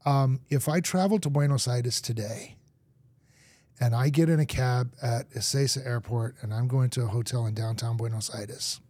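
A man who grew up in Argentina, a podcast guest telling lively stories in conversation. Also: medium pitch, normal speed, no room sound. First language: English. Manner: lively storyteller acting out anecdotes. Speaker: guest, marketing executive.

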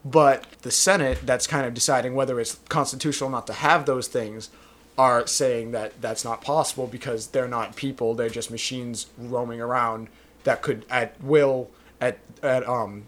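A man's voice: mid-range voice, fairly even intonation, normal speed, a bit bright. A man with a mid-range voice co-hosts a casual film podcast. He works through a plot summary in a rambling way, often hedging with loose phrasing. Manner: rambling, hedges with "kind of". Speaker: podcast co-host.

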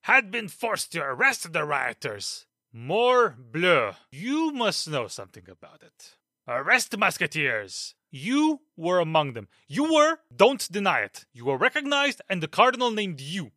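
Brief: male actor as an irate musketeer captain for an audiobook drama, sarcastic and sharp, higher voice, dry close recording. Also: very animated, slow, no room sound, exaggerated voice. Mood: angry scolding. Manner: angry, ironic scolding with sudden shouts.

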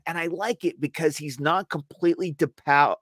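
A man's voice: fairly high pitch, moderate pace, fairly bright tone, dry recording. A man co-hosting a casual commentary stream, stressing his points emphatically and often repeating phrases. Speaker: co-host of a cartoon episode review stream.

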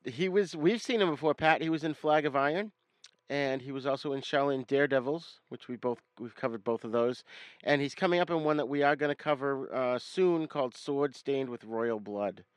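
A man with a New England accent hosts a film podcast in an informal, joking manner. His voice sits at a middling pitch, and the sound is dry and close with very little room in it. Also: moderate pace, clean tone, dry recording. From New England, United States. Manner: jokey, informal. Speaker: podcast host. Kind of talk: casual film discussion.

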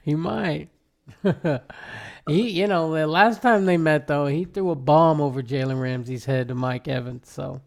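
Gravelly laid-back man in his thirties. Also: a little high, unhurried. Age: 30s. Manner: relaxed, laughs easily, says man a lot. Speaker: podcast guest. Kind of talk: casual sports chat.

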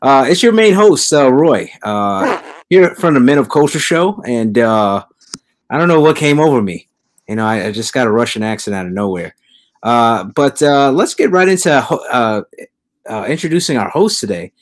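Male podcast host, average pitch, jokey ribbing tone. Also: animated, moderate pace. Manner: joking, teasing, laughs often. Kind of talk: casual podcast chat.

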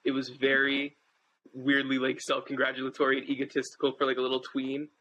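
A twenty-one-year-old man from Southern California who plays rhythm guitar. His voice is bright and tense, and he rambles in a laid-back way, leaning on "like." It comes through dull, lo-fi audio with a faint hiss. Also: medium pitch, completely flat delivery, brisk. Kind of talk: casual interview chat.

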